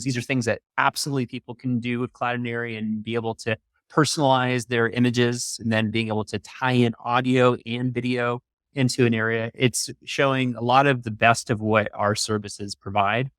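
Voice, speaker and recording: A man's voice: medium pitch, little pitch movement, a little fast, dry recording. A man hosting an interview in an upbeat, effusive and encouraging conversational way. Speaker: podcast host.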